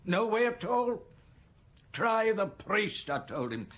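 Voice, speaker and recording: Animated, grumbling estate steward. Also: male voice, sits high, takes it slowly, full character voice. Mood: aggrieved. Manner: grumbling, knowing. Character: aggrieved, gossipy male estate steward, a father. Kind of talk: audio drama acting.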